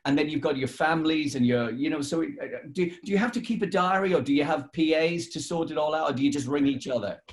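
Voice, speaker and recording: Male interviewer, slightly high voice, chatty, rambling questions, casual radio conversation. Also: fast, hardly any pauses.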